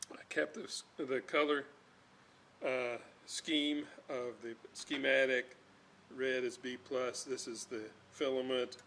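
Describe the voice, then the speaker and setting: A man giving an unscripted hands-on repair demonstration, talking in a halting way as he thinks aloud. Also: mid-range voice, a bit bright. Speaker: host, electronics restorer. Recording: clear background noise.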